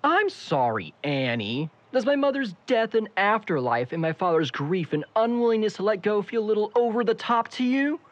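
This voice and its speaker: A cocky young man played by a male actor in an audio drama, sardonic and evasive. His pitch moves in lively swings as he needles people with flippant remarks. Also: moderate pace, hardly any pauses, dry recording, lo-fi sound. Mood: bitter. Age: young adult. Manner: sarcastic, flippant.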